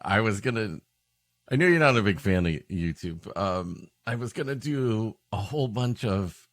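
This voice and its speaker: A man's voice: fairly low pitch, lively intonation, moderate pace, dry recording, studio quality. A man co-hosting a podcast in casual comic chat, hesitant and self-deprecating. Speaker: podcast co-host.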